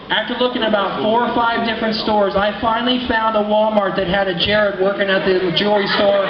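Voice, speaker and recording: A man from Kansas doing a deadpan stand-up comedy set in a small bar, telling stories and acting out quotes. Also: sits high. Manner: deadpan storytelling with acted quotes. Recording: echoey room, lo-fi, noisy.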